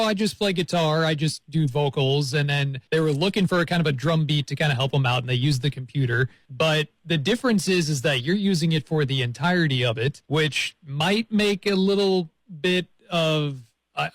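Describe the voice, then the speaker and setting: A man's voice: fairly high pitch, dry recording. A man hosting a radio show, interviewing and presenting in a conversational style.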